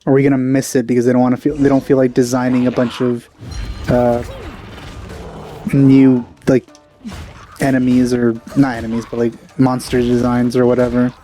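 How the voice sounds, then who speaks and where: A man's voice: mid-range voice, monotone, moderate pace, dark tone, soft background music. A man hosting a let's play, commenting casually in a dry, musing way.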